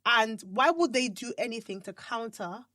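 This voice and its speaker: A woman's voice: a little high, lively intonation, moderate pace, bright, forceful tone, dry recording, clean studio sound. A woman from Nigeria co-hosting a podcast, chatting casually and animatedly, often repeating words and trailing off mid-thought. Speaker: podcast co-host. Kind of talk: casual chat.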